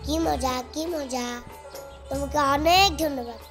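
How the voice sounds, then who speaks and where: A woman's voice: high-pitched, unhurried. Woman doing a whiny little boy. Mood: sweet. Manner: whiny, pleading. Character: poor little boy, stubborn but sweet. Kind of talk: homemade cartoon voice acting. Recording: music playing behind.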